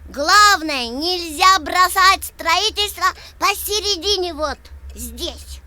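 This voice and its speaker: Very high-pitched, bossy little kitten. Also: female voice, animated, sharp tone, full character voice. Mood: confident, bossy. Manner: brisk, instructive, self-assured. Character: bossy, confident little boy kitten, playful leader. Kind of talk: children's cartoon voice acting.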